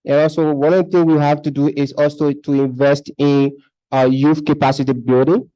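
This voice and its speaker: A man's voice: fairly high pitch, little pitch movement, normal speed, dark tone, rough recording. Earnest young man. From Liberia. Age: young adult. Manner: earnest, repeats words, advocating. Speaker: conference participant. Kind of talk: discussion contribution. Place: conference hall.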